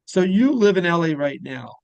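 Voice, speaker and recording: A man's voice: fairly high pitch, sing-song, normal speed, gravelly, a little mellow, dry recording, dull audio. A man hosting a casual interview in a rambling, repetitive way, often trailing off mid-thought. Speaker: interviewer and show host.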